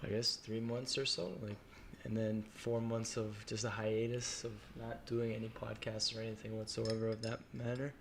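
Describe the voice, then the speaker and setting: A man's voice: on the low side, level tone, normal speed, creaky, hardly any pauses, slight background noise. A young man hosting a podcast, talking in a friendly, earnest way. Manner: friendly, earnest, lots of affirming murmurs. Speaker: podcast host. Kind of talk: casual interview chat.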